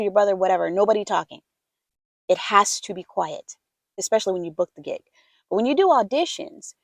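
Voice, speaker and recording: Soft-spoken woman coaching, dry close sound. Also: mid-range voice, no echo. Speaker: voiceover coach. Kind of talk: casual instructional talk to camera.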